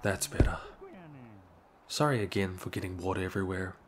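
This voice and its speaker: A man's voice: low-pitched, fairly even intonation, brisk, background noise, close to an everyday voice. A young man playing a shy, awkward, apologetic neighbor in an ASMR roleplay, speaking hesitantly. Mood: sheepish, apologetic.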